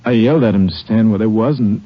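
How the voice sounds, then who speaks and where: An actor in an old radio drama playing a gravely wounded deputy, a grieving father, who talks haltingly and pleads. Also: lowish voice, close to an everyday voice. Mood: pained, halting. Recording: dull vintage radio recording.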